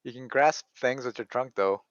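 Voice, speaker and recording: A man's voice: mid-range voice, moderate pace, dry recording. Male DM, teasing, rules-checking, muffled lo-fi recording. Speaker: dungeon master. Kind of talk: casual tabletop game chat. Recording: dull lo-fi sound.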